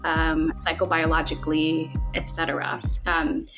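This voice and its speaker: Lowish-voiced woman therapist from the Philippines. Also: little pitch movement, moderate pace, gravelly, over music. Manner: reflective, thinks aloud with many fillers and restarts. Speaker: guest psychotherapist. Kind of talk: casual podcast conversation.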